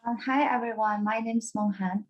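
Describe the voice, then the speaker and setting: A woman's voice: medium pitch, brisk, dull audio, a little hiss or hum. A young female student from China, a guest speaking earnestly and carefully on a video call. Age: young adult.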